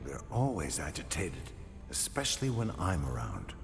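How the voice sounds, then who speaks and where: Male warrior commander, fantasy game: very low, terse, grim, pitch moving widely, music behind. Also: sing-song, normal speed, vocal fry, exaggerated voice. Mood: stern. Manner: terse, stern. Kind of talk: scripted fantasy video game acting.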